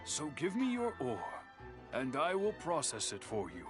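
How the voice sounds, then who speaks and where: A man voicing a dignified, stern old master swordsmith in an anime-style video game, formal, measured and gently admonishing. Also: medium pitch, huge pitch swings. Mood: calm, dignified. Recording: music behind the voice.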